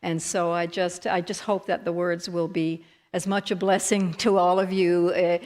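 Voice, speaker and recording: Woman from newfoundland, lowish voice, earnest and a bit funny, big church reverb. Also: flat delivery, moderate pace. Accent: Newfoundland English. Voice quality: low for a woman. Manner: earnest, gently humorous. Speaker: guest song leader, media personality. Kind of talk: sincere spoken introduction. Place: church sanctuary.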